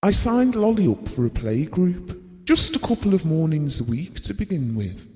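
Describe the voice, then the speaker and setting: A man's voice: fairly high pitch, very animated, moderate pace, mellow. An actor playing an earnest, devoted, guilt-prone middle-aged British husband and father, reminiscing confessionally in an audio drama. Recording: reverberant hall-like room.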